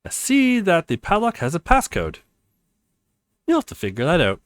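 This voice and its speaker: A man's voice: high-pitched, wildly swooping pitch, moderate pace, dry recording. A man voicing a cool, teasing young woman who dismissively helps run a contest in a visual novel game. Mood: taunting.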